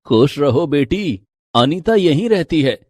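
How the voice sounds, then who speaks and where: Man voicing a husky, kindly elderly father-in-law. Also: on the high side, lively intonation, slow, darkish tone, dry recording, studio quality. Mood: curious, welcoming.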